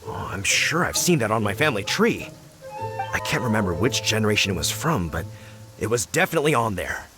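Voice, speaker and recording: A man's voice: fairly low pitch, very animated, a little fast, over music. A man voicing an earnest young village man in an anime game, speaking expressively. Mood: puzzled.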